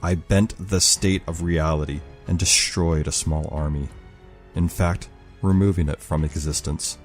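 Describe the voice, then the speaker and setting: A man's voice: low-pitched, steady tone, measured pace, over music. A man voicing a grave, commanding male rebel leader, speaking formally like an orator in an audio story. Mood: solemn, resolute.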